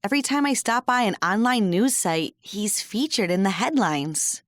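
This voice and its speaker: A woman playing a perky, casual, enthusiastic young sidekick in an audio drama, with a breezy, excitable delivery. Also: mid-range voice, moderate pace, no echo, studio quality, natural voice. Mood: curious.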